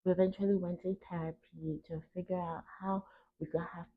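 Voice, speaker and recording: A young adult woman from Cameroon talks reflectively and earnestly as a podcast host, stressing her points and pausing between short phrases. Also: on the low side, little pitch movement. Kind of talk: reflective personal talk. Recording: tinny phone-like narrow band.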